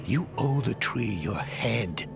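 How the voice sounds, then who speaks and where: An actor playing a stern, reproachful comrade in a dark fantasy video game. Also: male voice, medium pitch, animated, takes it slowly, creaky. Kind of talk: dramatic video game acting. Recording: loud music under the voice.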